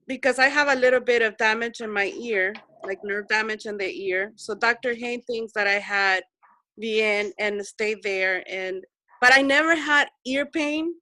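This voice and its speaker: A woman's voice: on the high side, pushed and bright, no room sound. A woman in her forties from El Salvador, telling her own story on a video call in an earnest way and repeating herself for emphasis. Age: 40s. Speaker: Zoom meeting participant. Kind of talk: personal story in group video chat.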